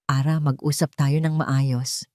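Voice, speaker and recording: A woman's voice: low-pitched, flat delivery, measured pace, a little mellow, dry recording, studio quality. Woman voicing an uneasy, placating young husband. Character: anxious, evasive cheating young husband, placating.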